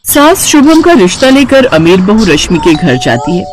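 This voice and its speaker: A woman narrates a scripted bedtime tale for children. Her voice is mid-pitched and moves up and down a great deal as she tells it. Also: very animated, normal speed, darkish tone, soft background music. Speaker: story narrator.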